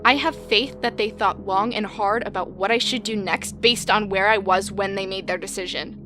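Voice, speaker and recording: A woman's voice: mid-range voice, steady tone, natural voice. An actress playing an emotional, determined woman who survives an apocalypse, in an audio drama. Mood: resolute. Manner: emotional, halting in grief, firm when resolved. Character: Leah, grief-stricken but determined woman survivor of an apocalypse. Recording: music behind the voice.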